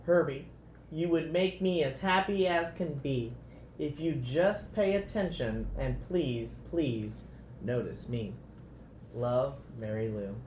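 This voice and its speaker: A man's voice: medium pitch, takes it slowly, a little mellow, natural voice. A man voicing a sweet, adoring female slug poet, reciting singsong verse from a storybook. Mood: pleading. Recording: roomy echo, faint background noise.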